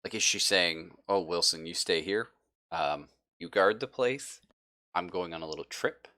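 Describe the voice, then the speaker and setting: A man's voice: deep voice, normal speed, pushed and bright. A man running a tabletop roleplaying game as game master, talking casually and checking in with his players as he sets out scenes.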